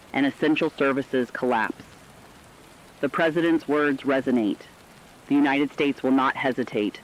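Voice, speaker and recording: A man's voice: medium pitch, close to an everyday voice. A man playing a resolute war correspondent, delivering scripted dramatic news in a stern, declamatory way. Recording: dull lo-fi radio sound.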